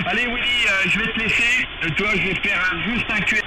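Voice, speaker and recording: A man chatting casually as an amateur radio operator, giving hesitant, measured feedback through a two-way radio. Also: high-pitched. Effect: two-way radio transmission. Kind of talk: casual radio contact chat. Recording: very noisy, hissy radio transmission.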